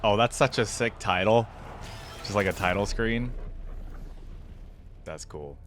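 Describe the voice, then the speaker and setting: A man's voice: fairly low pitch, a little slow, fairly bright tone, a little hiss or hum. A man hosting a reaction video with casual, informal commentary.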